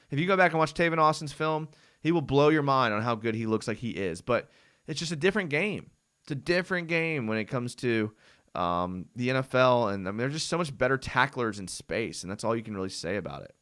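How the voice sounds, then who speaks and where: Laid-back young man, smooth voice. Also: on the high side, a bit bright. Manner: laid-back, rambling, frequent "dude" and "I mean". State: voice going hoarse from long talking. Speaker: host of a live fan show. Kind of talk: casual live-stream chat.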